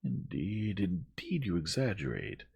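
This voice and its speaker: A man voicing an earnest Victorian gentleman in an audiobook, gentle, pleading and mannerly. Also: medium pitch, wildly swooping pitch, measured pace, creaky, slightly rough, dull audio, pitched above the performer's own voice, full character voice. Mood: soothing, dismissive. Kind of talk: dramatized audiobook reading.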